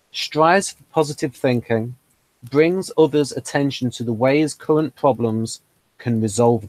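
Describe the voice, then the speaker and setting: A man's voice: medium pitch, no room sound, rough recording. A man hosting a casual interview, explaining ideas, reading items aloud and asking follow-up questions. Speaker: host.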